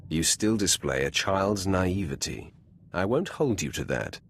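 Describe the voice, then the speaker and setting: An actor playing a commanding, cold Sith master in a video game, with a terse, imperious and self-assured manner. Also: male voice, deep voice, very animated, a little slow, creaky, slight background noise, exaggerated voice. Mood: condescending. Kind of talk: dramatic video game voice acting.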